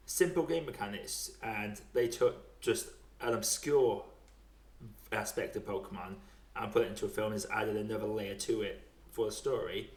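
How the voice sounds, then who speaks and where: British man podcasting in an echoey room. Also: lowish voice. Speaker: podcast host. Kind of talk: casual film podcast chat. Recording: roomy echo.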